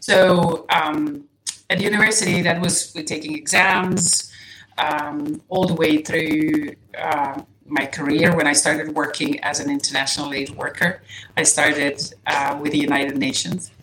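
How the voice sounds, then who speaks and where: A woman from Serbia whose native language is Serbian, a podcast guest speaking in a casual interview, soft-spoken and hesitant, restarting often and laughing lightly. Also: low-pitched, flat delivery, unhurried, a little hiss or hum, rough recording. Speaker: guest, nutrition coach.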